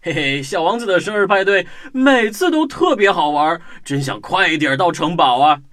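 A man's voice: high-pitched, lively intonation, moderate pace, fairly bright tone. A man voicing a cheerful, enthusiastic young boy in a children's audio drama. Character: cheerful young boy train driver Nick.

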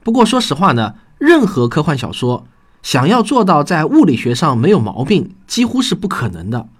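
A man's voice: fairly high pitch, wildly swooping pitch, moderate pace, husky. A man hosting a podcast and talking to listeners about his own writing in an earnest, explanatory way. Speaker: podcast host and science fiction writer. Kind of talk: scripted podcast talk to listeners.

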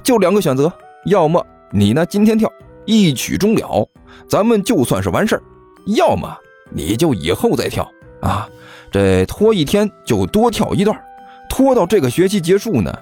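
A man's voice: on the high side, wildly swooping pitch, normal speed, warm tone, husky, faint music behind, voice pushed up, full character voice. A man voicing a smug, chubby male student in an audiobook, drawling with mock concern as he needles his rival. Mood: sneering. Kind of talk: audiobook character acting.

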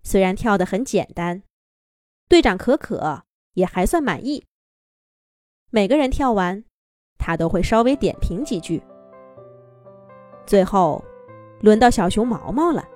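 Expressive woman reading a kids' story. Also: mid-range voice, measured pace, long pauses, no room sound. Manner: gentle, expressive, singsong. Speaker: children's storyteller. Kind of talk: children's story narration. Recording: soft background music.

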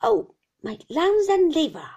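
A woman's voice: very high-pitched, huge pitch swings, measured pace, a little mellow, lo-fi sound, voice pushed up, exaggerated voice. A woman voicing a fierce, half-mad old male shopkeeper in a storybook, with a whining, throat-rattling, sing-song delivery. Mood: haggling, wailing. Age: older. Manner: wailing sing-song tune, rising and falling, exclamatory.

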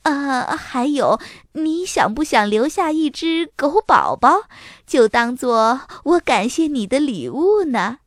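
Woman doing kindly gushy storybook neighbour lady, full of exclamations, high voice, dry close recording. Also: animated, slow, no echo. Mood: generous, warm. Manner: gushing, exclaiming. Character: kindly, emotional neighbour lady, dog owner. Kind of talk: children's storybook character acting.

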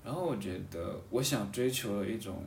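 A man with a fairly low voice talks reflectively in an echoey room, set back from the mic with faint noise around him. He thinks aloud, halting and circling back over his phrases in casual conversation. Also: vocal fry, a little mellow, distant mic. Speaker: podcast guest talking about a hobby of seal carving. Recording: roomy echo, off-mic, faint background noise.

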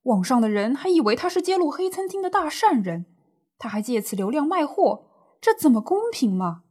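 A woman plays an older small-business auntie in a radio drama, with a high voice that rises and falls widely. She grumbles and exclaims in homely, colloquial speech, plaintive and indignant at being wronged. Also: very animated, normal speed, darkish tone. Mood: aggrieved, worried. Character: distressed, indignant older woman running a small snack shop.

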